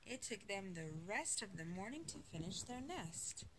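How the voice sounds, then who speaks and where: A woman reading a children's storybook aloud as a mother to a child, slowly and expressively, acting out the story. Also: medium pitch. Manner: slow, expressive, acting out the dialogue. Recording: noisy home recording with clicks.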